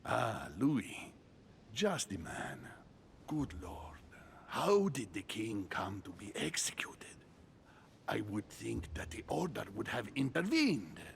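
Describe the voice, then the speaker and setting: A grave, gravelly old churchman. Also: fairly low pitch, huge pitch swings, slight background noise, heavily acted. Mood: concerned. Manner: formal, deliberate, fatherly address. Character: elderly senior churchman, courteous, grave and measured. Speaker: video game character. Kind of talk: dramatic game dialogue.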